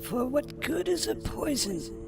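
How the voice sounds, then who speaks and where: A woman playing an ancient alien keeper in a cinematic video game, speaking gravely and deliberately with sorrow, like a prophet. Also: sits high, wildly swooping pitch, full character voice. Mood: urgent, grave. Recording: loud cinematic music underneath.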